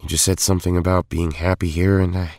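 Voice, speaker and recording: A man acting in an audio drama as an earnest hero and his cold tyrant double, with a gravelly, husky voice. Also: low voice, level tone, quick pace, a little mellow, dry recording, studio quality. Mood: earnest, uneasy.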